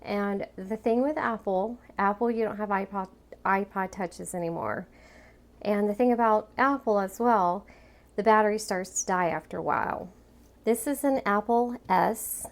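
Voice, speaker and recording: A woman speaks in a medium register. She explains a product in a conversational, matter-of-fact way, as in a homemade video review. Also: medium pitch.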